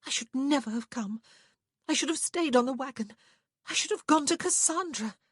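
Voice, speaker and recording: An anxious young English gentlewoman from a storybook, acted by a woman, high and very animated in pitch. She speaks with breathless urgency and a proper turn of phrase. The voice is slightly husky and closely recorded. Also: moderate pace, dry recording, clean studio sound. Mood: frantic. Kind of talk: audiobook character dialogue.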